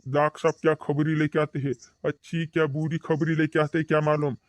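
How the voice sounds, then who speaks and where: A man's voice: a little high, level tone, a little fast, no room sound, close to an everyday voice. A man playing an officious but soothing hospital guard in an amateur cartoon comedy, explaining things repetitively.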